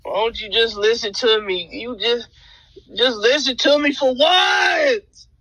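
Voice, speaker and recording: A man's voice: very high-pitched, measured pace, sharp tone, dry recording, rough recording. A young American man crying and pleading in a whiny, upset way into a phone held close. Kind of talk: emotional pleading on a phone call.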